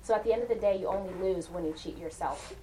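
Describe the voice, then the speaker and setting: A woman's voice: mid-range voice, fast, dark tone, recorded from a distance. A young woman from Uganda working as a counselor, giving an encouraging informal talk to a class of young people and often checking in with "right?". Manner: encouraging, asks the group questions, frequent "right?". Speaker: counselor and guest speaker. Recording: noisy room recording.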